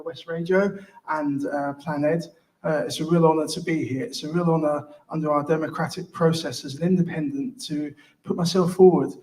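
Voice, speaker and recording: A man giving an earnest, halting hustings speech as an independent candidate, often repeating words. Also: fairly high pitch, poor audio. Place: live public hustings event.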